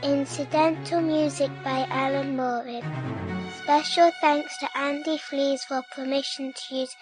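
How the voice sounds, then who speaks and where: A woman's voice: high voice, fairly even intonation, a little slow, over music. A woman reading scripted closing credits as an announcer. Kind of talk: scripted narration.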